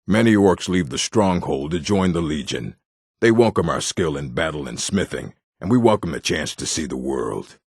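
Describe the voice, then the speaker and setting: An actor voicing a proud, gruff orc warrior in a fantasy video game, gravelly and husky, with a stern, blunt delivery. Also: male voice, rumbling low, lively intonation, normal speed, a little mellow, no echo, clean studio sound, exaggerated voice. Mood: solemn.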